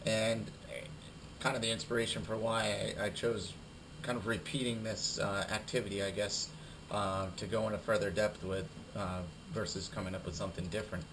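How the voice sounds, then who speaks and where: Man with a cold, halting presentation. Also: lowish voice, level tone, background noise. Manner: halting, many fillers and repeated words. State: has a cold, losing his voice. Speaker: student presenter. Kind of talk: recorded class presentation.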